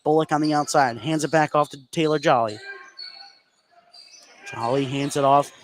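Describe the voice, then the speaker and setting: An American man doing excitable live play-by-play basketball commentary for radio, narrating the action in running phrases. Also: a little high, normal speed, a little hiss or hum. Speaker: play-by-play sports announcer.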